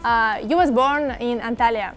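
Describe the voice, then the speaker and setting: A woman with a high voice and a Russian accent hosts a travel vlog. She narrates fluently in her own language and puts short, simple questions in broken English. Music plays clearly behind her. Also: normal speed. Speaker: travel show host.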